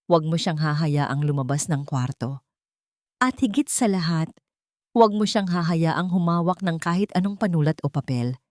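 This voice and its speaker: A woman's voice: deep voice, lively intonation, normal speed, darkish tone, no echo, studio quality. A woman voicing a cold, domineering, villainous lady of a wealthy house in an audio drama, icy and commanding. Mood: menacing. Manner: icy, commanding, hissing menace.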